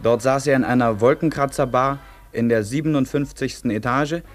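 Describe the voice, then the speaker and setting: A man's voice: mid-range voice, moderate pace. A man with a mid-range voice narrates a radio feature, reading scripted linking passages. Faint music sits underneath the voice.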